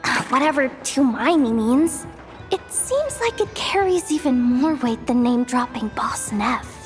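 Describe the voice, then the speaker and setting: A woman's voice: high-pitched, lively intonation, measured pace. A woman playing a frazzled, earnest young female expo manager in a video game, flustered and stammering. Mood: uneasy. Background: music.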